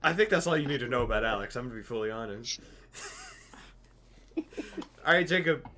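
A man's voice: medium pitch, expressive, fairly quick, pushed and bright, a little hiss or hum. A young man hosting a casual podcast chat, joking and teasing his friends. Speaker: podcast host.

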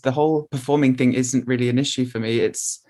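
A man's voice: medium pitch, steady tone, quick pace, a little mellow. A man speaking earnestly as an interview guest, open and encouraging. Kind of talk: candid conversational interview.

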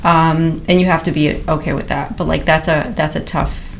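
A woman's voice: low voice, fairly even intonation, normal speed, gravelly, a little hiss or hum. A young adult woman, an immigration attorney, talking candidly and laughing often as a podcast guest. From United States. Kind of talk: casual interview conversation.

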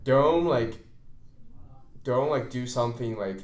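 A man's voice: mid-range voice, slow. Young man, podcast host, college student, informal, earnest, casual chat, roomy echo. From China. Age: young adult.

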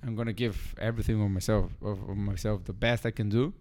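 A young man with a fairly low voice talks casually, as if among close friends. English is not his first language. He speaks as a participant in an informal podcast conversation. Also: steady tone, fast, a little mellow.

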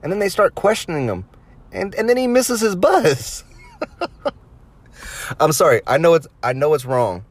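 A man's voice: on the high side, big pitch swings, measured pace, pauses often, slightly rough, dry recording. A man around thirty hosting a podcast, telling anecdotes casually with crude swearing and amusement at his own stories. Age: late 20s to early 30s. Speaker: podcast host. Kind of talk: casual anecdotal storytelling to listeners.

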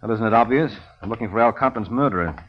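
Fast-talking, low-voiced hard-boiled radio detective actor. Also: male voice, a little hiss or hum, close to an everyday voice. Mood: wry, cool. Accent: American. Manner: deadpan, wisecracking. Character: wry, hard-boiled male private detective, unflappable and flirtatious. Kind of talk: 1940s-50s radio detective drama acting. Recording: dull vintage radio recording.

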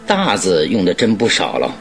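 An actor in a radio drama playing a genial, self-assured detective with a teasing, politely probing manner. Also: male voice, sits low, huge pitch swings, normal speed, gravelly, a bit bright, soft background music, heavily acted. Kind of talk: Chinese radio drama acting.

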